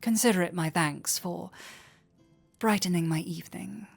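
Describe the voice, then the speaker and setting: A woman playing a flirty, warm-hearted tavern innkeeper in an intimate roleplay audio drama, teasing coyly and playfully. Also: lowish voice, gravelly, soft background music. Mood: playful, insistent. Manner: coy, playful, unhurried teasing.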